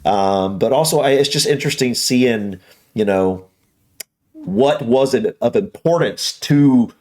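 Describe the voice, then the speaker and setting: An American man hosts a reaction video in an easygoing conversational style. His pitch sits in the middle range for a man. He rambles through his opinions, circling back and repeating himself as he goes. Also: a little slow, darkish tone. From United States. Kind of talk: casual conversational commentary.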